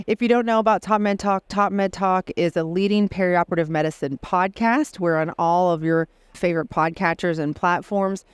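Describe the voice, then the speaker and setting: A woman hosting an interview podcast, speaking in a clear, smooth voice. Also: fairly low pitch, steady tone, normal speed, few pauses, no echo. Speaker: podcast host.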